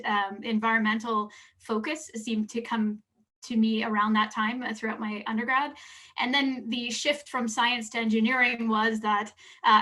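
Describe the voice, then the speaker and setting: A woman's voice: on the high side, pushed and bright, far from the mic. A woman in her thirties, speaking as a panelist on a video call from a home office, with some hesitant fillers. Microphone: video call, sitting back from camera.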